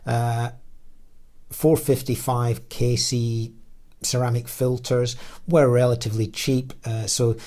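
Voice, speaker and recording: A man presenting a technical slide lecture as an engineer, explaining in a halting way with frequent pauses mid-phrase. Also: medium pitch, darkish tone. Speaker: radio designer and engineer presenting.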